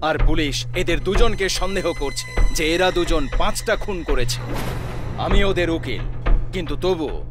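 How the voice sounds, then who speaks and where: Horror story lawyer, played by a man: stern, deliberate, accusing, fairly high male voice, clear music underneath. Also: lively intonation. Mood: formal. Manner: deliberate, interrogating. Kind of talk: dramatised horror story acting.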